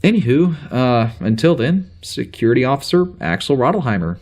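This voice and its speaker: A flustered spaceship security officer, acted by a man, dictates his log in a middling male pitch. He rambles and hesitates, unsure of himself, in the natural style of a sci-fi audio drama. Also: big pitch swings, a little mellow, lightly acted. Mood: casual, uncertain. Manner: halting, rambling, full of hesitations and sighs. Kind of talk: audio drama, informal spoken log entry.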